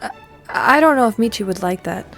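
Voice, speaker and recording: A woman's voice: medium pitch, expressive, a little fast, vocal fry. A woman playing a young actress at rehearsal in an audio drama, casual and a little hesitant. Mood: casual, evasive. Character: young actress at rehearsal, friendly but evasive. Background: music.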